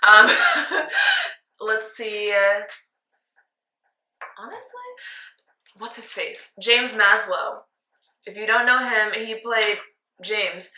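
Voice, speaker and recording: A woman's voice: mid-range voice, pushed and bright. A young woman in her twenties chatting casually and giggling while she has her makeup done at home. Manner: giggly, rambling, fond of laughing. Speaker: co-host getting makeup done. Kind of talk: casual chat. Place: small indoor room at home. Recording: echoey room.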